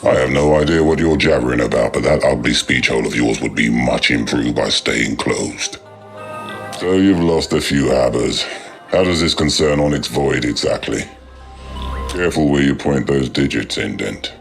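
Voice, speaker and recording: An actor playing a haughty, menacing alien crime boss in a video game, with a gravelly voice and cold, deliberate threats. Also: male voice, a bass voice, soft background music. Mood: cold, contemptuous. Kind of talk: video game cutscene acting.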